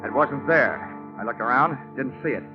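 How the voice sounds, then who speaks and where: An American man playing a wisecracking, hard-boiled insurance investigator in an old radio detective drama, with a wry, terse, deadpan delivery. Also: medium pitch, normal speed, lightly acted. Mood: wry narration. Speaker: lead actor and narrator in a detective radio drama. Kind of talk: 1940s-50s radio acting, first-person scripted narration. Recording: muffled vintage radio broadcast with music.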